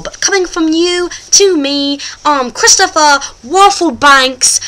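A woman playing a boastful, goofy British male show host with an exaggerated British accent, presenting a comic vlog in a hammy, excitable, rambling way. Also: sits high.

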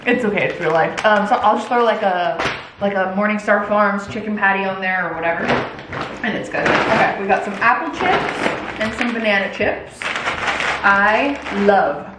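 A woman's voice: mid-range voice, normal speed. An American woman in her thirties talking casually and chattily to camera, rambling along in girly slang as a lifestyle vlogger. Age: 30s. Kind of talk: casual chatty grocery haul vlog. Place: home kitchen, indoors. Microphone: camera mic a few feet away. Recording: echoey kitchen, clear background noise.

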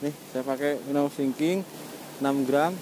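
A man's voice: medium pitch, moderate pace, background noise. A young man chatting casually as a fishing vlogger in short colloquial exclamations, recorded outdoors on a seashore. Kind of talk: casual vlog chat. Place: open rocky seashore, outdoors. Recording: loud music over outdoor action-camera voice. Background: loud music.

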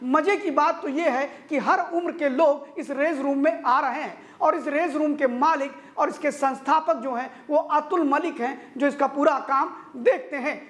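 High-voiced woman reading the news. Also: normal speed, hardly any pauses. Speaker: news presenter. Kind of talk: scripted news narration.

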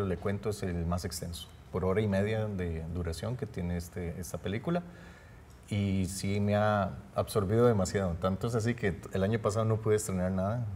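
A man's voice: deep voice, darkish tone, a little hiss or hum. A man in his thirties, a film director, talking earnestly in a studio interview, rambling with restarts.

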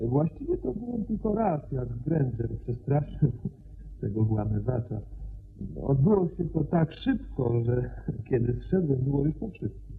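Gravelly, modest gentleman herbalist. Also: mid-range voice, sing-song, unhurried. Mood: polite, modest. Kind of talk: Polish radio play acting. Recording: narrow phone-like band, hissy old radio.

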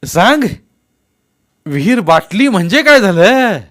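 A man voicing a stern grown villager in a story, loudly and insistently challenging with pointed questions. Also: high-pitched, expressive, unhurried, clear, resonant tone, pitched above the performer's own voice.

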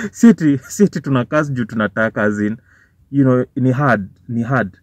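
A man's voice: medium pitch, expressive, measured pace, no echo. Kenyan man ranting in a car. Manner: informal, swearing, switching between English and Swahili slang. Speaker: vlogger. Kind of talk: casual commentary to camera. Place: parked car, indoors. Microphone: phone or camera on the dashboard. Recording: dull, dry car recording.

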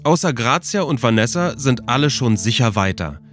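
A man's voice: mid-range voice, sing-song, moderate pace, music in the background. A man reading a scripted documentary narration for a podcast.

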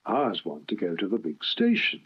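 Man as a sensible, husky little engine. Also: low voice, huge pitch swings, normal speed, heavily acted. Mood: dismissive. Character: cheerful, sensible, dry-witted male tank engine. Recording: dull lo-fi archive recording.

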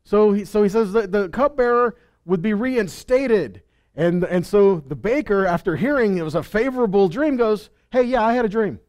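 A man's voice: sits high, lively intonation, normal speed, a little mellow. Man teaching scripture on stage. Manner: conversational, asks the room questions, acts out quotes. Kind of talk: Bible teaching. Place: stage with purple lights. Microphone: headset.

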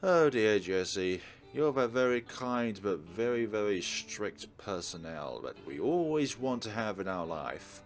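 A man's voice: on the low side, very animated, measured pace, hardly any pauses. A man hosting a let's play, reading visual novel narration aloud and adding casual commentary. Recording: game music behind the voice. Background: visual novel soundtrack.

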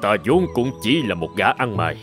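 A humble, dignified older gentleman in a cartoon. He talks in a measured, gracious manner, with music clearly behind him. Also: on the low side, big pitch swings. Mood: reflective. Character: humble, dignified older billionaire posing as a beggar. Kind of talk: dubbed cartoon dialogue.

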